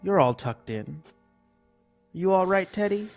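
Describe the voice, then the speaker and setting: A man playing a soft-spoken mother tucking her children into bed in a live old-time radio play reading. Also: high voice, lively intonation, measured pace, faint music behind. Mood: soothing. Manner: soft, soothing bedtime delivery.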